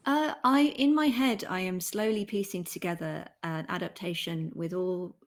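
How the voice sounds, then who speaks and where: A woman's voice: on the low side, normal speed. A woman interviewer chatting casually with her guest about creative work.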